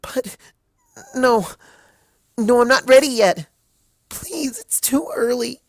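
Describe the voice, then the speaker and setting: A man's voice: extremely high pitch, takes it slowly, no room sound, pitched above the performer's own voice, heavily acted. A man voicing a young female pony student, frightened and pleading, with a stammering, tearful delivery. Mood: tearful panic.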